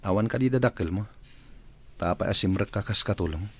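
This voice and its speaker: A man's voice: lowish voice, fairly quick, close to an everyday voice. A man with an Ilocano Filipino accent playing an older, kindly, neglected employer who is a patient in a radio drama. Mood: curious, sympathetic.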